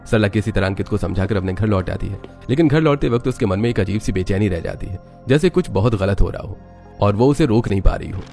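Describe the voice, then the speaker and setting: A man's voice: fairly low pitch, lively intonation, fast, gravelly, mellow, soft background music. A man reading scripted, dramatic narration for a family story.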